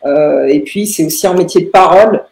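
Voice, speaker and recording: A young woman, answering in a podcast interview with halting phrasing and very frequent filled pauses. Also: lowish voice, gravelly, a little mellow. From Paris, France. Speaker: lawyer, interview guest. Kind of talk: podcast interview answers about own career. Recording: roomy, echoing room sound.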